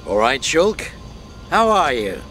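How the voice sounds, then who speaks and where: An actor playing a shrewd, easygoing middle-aged mentor in a video game. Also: male voice, medium pitch, big pitch swings, takes it slowly, a bit bright, a little hiss or hum. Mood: jovial. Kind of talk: video game cutscene acting.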